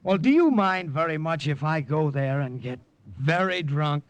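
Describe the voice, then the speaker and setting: An actor playing a rattled, stammering American army sergeant and spy in a 1950s radio drama. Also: male voice, fairly high pitch, lively intonation, moderate pace, loud music behind the voice. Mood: weary. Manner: stammering, agitated. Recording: old radio recording with faint noise.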